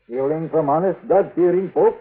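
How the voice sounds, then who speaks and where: An actor playing a crusty, flustered storekeeper with a soft heart, sputtering indignantly in an old-time radio western. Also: male voice, fairly high pitch, normal speed, husky. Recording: narrow-band vintage radio recording.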